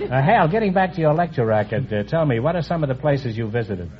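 A man hosting a quiz show, teasing his guests with quick jokes and puns. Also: medium pitch, sing-song, a faint crowd. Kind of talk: wisecracking quiz show banter. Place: radio studio with live audience. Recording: dull old radio broadcast with hiss. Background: audience laughter.

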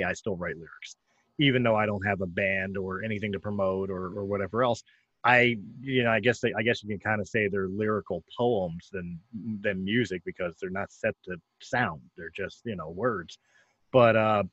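A man's voice: lowish voice, fairly bright tone, no echo. A man hosting a podcast, talking casually with frequent stammering restarts. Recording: dull, dry, slightly lo-fi.

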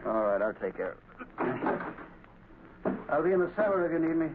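Nervy gunman: fairly high voice, tough and wary, hissy old radio serial. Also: sing-song, unhurried. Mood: compliant, puzzled. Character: uneasy male henchman gunman, wary and superstitious. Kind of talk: 1930s radio drama acting. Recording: noisy muffled 1930s radio recording.

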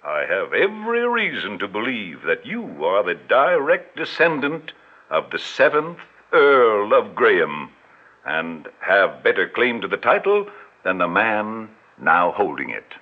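An actor in an old radio drama playing a smooth, pompous middle-aged con man with florid, grandiloquent speech. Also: on the low side, huge pitch swings, unhurried, full character voice. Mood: unctuous. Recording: dull vintage radio recording.

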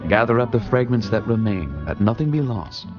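Jesus, solemn and measured, lively pitch, dull lo-fi sound with music under. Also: male voice. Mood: calm. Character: Jesus, calm authoritative male teacher. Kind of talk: dramatized scripture reading.

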